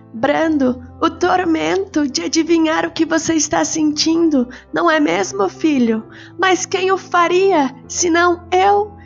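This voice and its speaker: Storybook mother: soft, patient, poetic, raised and tender in tone, played by a woman narrator. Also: extremely high pitch, creaky, pitched above the performer's own voice, exaggerated voice. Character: gentle, patient mother, wistful. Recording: slightly muffled with soft music.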